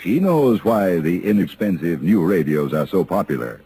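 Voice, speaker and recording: A man's voice: sits low, very animated, moderate pace, mellow, slight background noise. A man announcing in scripted 1950s radio style, polished and declarative. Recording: old radio broadcast recording.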